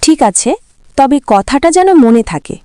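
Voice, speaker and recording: A woman's voice: high-pitched, big pitch swings, moderate pace, dark tone, clear, resonant tone, no echo, clean studio sound. A woman playing a young village girl, wary but firm, in a fairy-tale cartoon.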